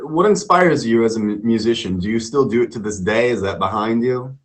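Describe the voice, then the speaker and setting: A man's voice: mid-range voice, animated, fairly quick. A man hosting an interview show, asking questions in a playful, joking way. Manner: upbeat, playful, jokey. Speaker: host. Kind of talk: casual interview.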